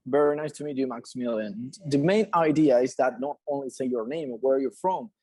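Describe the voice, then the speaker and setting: A man with an Argentine Spanish accent speaks casually as a community member. His voice is mellow and mid-pitched, in a dry, close sound. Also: brisk, husky, no echo. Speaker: community member at an online meeting. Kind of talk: casual spoken introduction.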